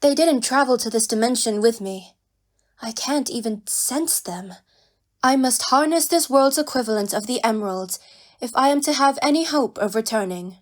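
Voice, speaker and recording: A fairly high female voice acted by a woman for a proud young cat warrior in a fan audio story. She is guarded yet courteous, with formal, measured phrasing and steady composure. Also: lightly acted. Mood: dejected, composed.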